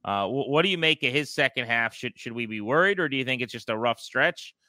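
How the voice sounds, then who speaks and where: A man's voice: medium pitch, expressive, a little fast, bright, forceful tone, dry recording. An American man hosting a sports podcast, talking through baseball in a conversational way.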